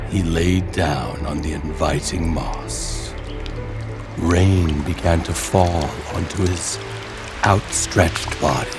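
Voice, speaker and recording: A man narrating a scripted horror story in a dramatic, deliberate storytelling manner. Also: low voice, fairly even intonation. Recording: music bed under the voice. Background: music.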